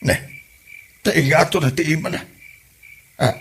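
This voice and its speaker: A man with an Ilocano accent playing a grumbling, excitable old husband and driver in a comedy audio drama, exclaiming and complaining. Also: fairly high pitch, measured pace, slight background noise.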